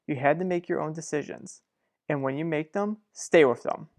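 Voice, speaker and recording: A man's voice: fairly high pitch, moderate pace, close to an everyday voice. A reserved doctor in a radio soap, acted by a man. He sounds formal and businesslike, holding back with a restrained, firm delivery. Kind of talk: old-time radio drama acting.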